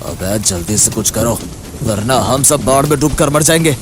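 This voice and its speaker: A man's voice: medium pitch, animated, normal speed, noisy background, close to an everyday voice. A man voicing a menacing, bullying village landlord who speaks in threats and commands. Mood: panicked. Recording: clear background music under voice.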